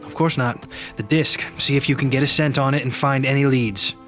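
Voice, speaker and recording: Male actor as calm scholarly medic, analytical, precise, mid-pitched, radio drama, music underneath. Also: fairly quick, lightly acted. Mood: composed. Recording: music bed behind voice.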